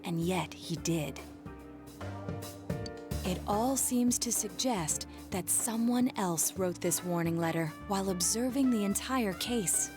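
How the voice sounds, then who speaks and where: An actress playing a young detective in a video game, with precise, formal, analytical phrasing. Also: female voice, medium pitch, slow pace, close to an everyday voice. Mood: grave deduction. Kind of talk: English video game dub acting. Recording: game music behind the voice.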